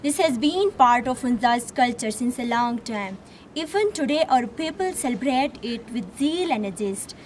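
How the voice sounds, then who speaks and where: A woman's voice: on the high side, animated, normal speed, a little hiss or hum. A teenage girl formally addressing an audience outdoors while presenting a school theme. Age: teens. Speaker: student presenter. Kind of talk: recited presentation. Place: outdoors on a mountain terrace.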